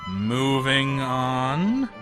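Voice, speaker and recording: Man as a smug, animated mock-documentary narrator. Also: medium pitch, drawn-out words. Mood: grandiose. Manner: grandiose, sardonic. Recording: music behind the voice.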